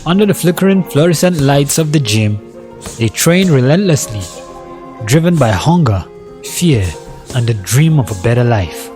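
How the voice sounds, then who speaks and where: Male story narrator: average pitch, dramatic scripted read, backed by music. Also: expressive, normal speed, a little mellow.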